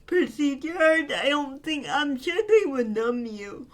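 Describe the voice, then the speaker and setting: High-pitched woman cohost, takes her time, kinda raspy, warm and earnest chat. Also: lively intonation. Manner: slow, earnest and affectionate, with frequent fillers. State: voice getting raspy from talking. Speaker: podcast co-host. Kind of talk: casual chat.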